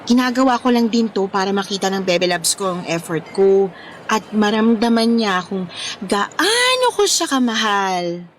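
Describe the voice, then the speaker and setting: A woman's voice: mid-range voice, expressive, measured pace, slight background noise, natural voice. An actress playing a defensive, easily led young woman in love, in Filipino radio drama style with a Filipino accent. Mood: defensive, pleading.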